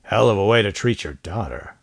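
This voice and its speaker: A man's voice: on the low side, animated, fairly quick, no room sound, clean studio sound. Man voicing a terse, laconic western cowboy. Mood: disgusted. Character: terse, decent cowboy newcomer. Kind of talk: western audiobook character acting.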